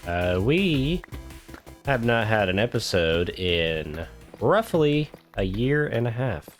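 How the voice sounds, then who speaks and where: Congested low-voiced man casually narrating gameplay. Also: sing-song, slow pace, soft background music. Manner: easygoing, rambling, talks while building. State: congested from a cold. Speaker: gaming channel host.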